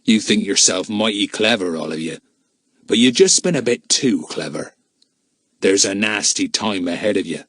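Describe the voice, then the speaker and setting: A cold, grim male villain from an adventure storybook, acted out by a man. He speaks slowly and deliberately, every phrase a threat. The sound is close and dry. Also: deep voice, sing-song, a bit bright, breathy edge, no echo, pitched below the performer's own voice, heavily acted. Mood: cold menace. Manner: slow, deliberate, threatening delivery.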